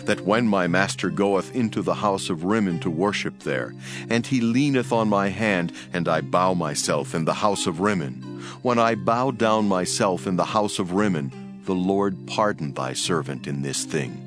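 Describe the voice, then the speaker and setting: Male actor as ancient military commander, proud and earnest, deep declamatory delivery, prominent music underneath. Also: expressive, moderate pace. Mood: humbled, earnest. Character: proud Syrian army captain, indignant then humbled and earnest. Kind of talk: dramatized scripture reading. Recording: music behind the voice.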